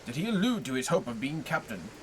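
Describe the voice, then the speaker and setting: A man's voice: mid-range voice, big pitch swings, fast, a little hiss or hum, lightly acted, rough recording. Man voicing sly, scheming Danglars. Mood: probing. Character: scheming jealous male ship's officer.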